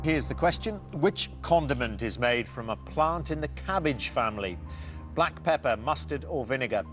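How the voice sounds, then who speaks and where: A man hosting a television quiz show, with a brisk, lightly teasing manner as he reads questions and chats with contestants. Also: mid-range voice, lively intonation, a bit bright, faint music behind.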